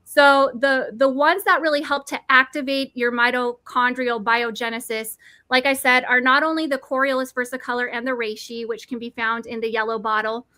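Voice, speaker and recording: Woman, forties, bright tense voice, dry close sound, eager explainer. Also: high-pitched, no echo. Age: 40s. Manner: eager, nerdy, self-correcting. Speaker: product presenter. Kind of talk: enthusiastic explanatory talk.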